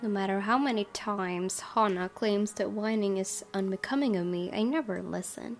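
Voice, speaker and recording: A woman's voice: medium pitch, normal speed. A woman hosting a gaming video, reading visual novel narration aloud. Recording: game music behind. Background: music.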